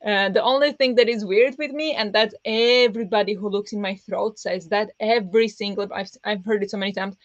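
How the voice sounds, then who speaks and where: Woman rambling on a book stream, pressed kinda raspy voice, dull lo-fi sound. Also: medium pitch, fairly even intonation, brisk, few pauses, no echo. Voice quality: pressed voice that turns raspy easily. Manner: rambling, with many fillers and restarts. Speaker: book streamer hosting reading sprints. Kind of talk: casual livestream chat with viewers.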